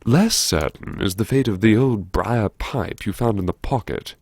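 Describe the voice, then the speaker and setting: A man's voice: on the low side, lively intonation, unhurried, a little mellow, slightly rough, dry recording, full character voice. A man playing a wry, erudite, self-mocking university head who muses to himself in old-fashioned, droll phrases, as audiobook narration. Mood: wry musing. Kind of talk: audiobook narration as inner monologue.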